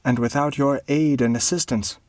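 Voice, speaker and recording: A man's voice: medium pitch, fairly even intonation, moderate pace, darkish tone, no echo, pitched above the performer's own voice, close to an everyday voice. A man voicing an anxious, earnest young baron in a storybook reading, pleading and imploring.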